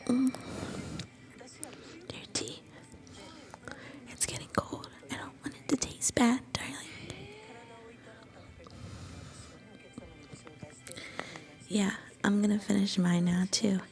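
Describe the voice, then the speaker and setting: A woman playing an affectionate, shy young student girlfriend in an intimate ASMR roleplay, soft-spoken and breathy, often slipping toward a whisper. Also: medium pitch, unhurried, pauses often. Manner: tender, coaxing, bashfully hesitant.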